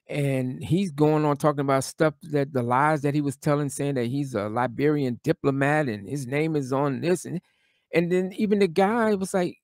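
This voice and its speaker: A man chatting casually as a guest on a live stream, hoarse from losing his voice and rambling with lots of fillers. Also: medium pitch, darkish tone, dry recording, clean studio sound. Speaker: guest speaker.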